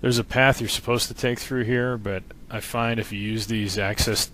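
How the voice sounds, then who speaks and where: Low-voiced man, noisy gameplay commentary. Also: steady tone, moderate pace, sharp tone. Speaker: game walkthrough narrator. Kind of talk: casual instructional gameplay commentary. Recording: noisy recording with game sound behind. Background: video game sound.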